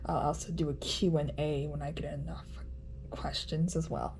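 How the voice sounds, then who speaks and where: A woman giving a casual vlog update in a nasal voice, chatty and halting with frequent ums. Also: deep voice, little pitch movement, creaky, a little mellow, a little hiss or hum. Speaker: vlogger.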